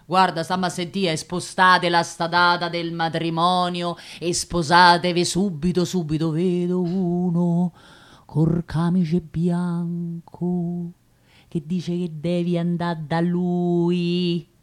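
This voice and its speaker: An actress playing a gossipy, self-assured working-class fortune-teller and concierge in a radio play, with a Roman accent and a colloquial, insistent, rambling delivery. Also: female voice, on the low side, level tone, slow pace. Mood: knowing. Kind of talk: theatrical monologue in dialect.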